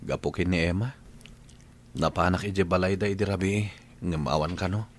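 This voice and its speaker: Low-voiced lovesick young Ilocano man. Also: steady tone, normal speed. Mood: dejected. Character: lovesick rejected young man, stubborn and mournful. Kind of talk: Ilocano radio drama acting.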